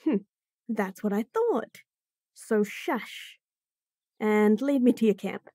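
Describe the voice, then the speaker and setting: A woman's voice: mid-range voice, lively intonation, takes it slowly, warm tone, dry recording, clean studio sound. A woman voicing a bratty, sassy bunny-girl chef in a fantasy roleplay audio, teasing and talking straight to the listener. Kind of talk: F4M roleplay audio, acted monologue.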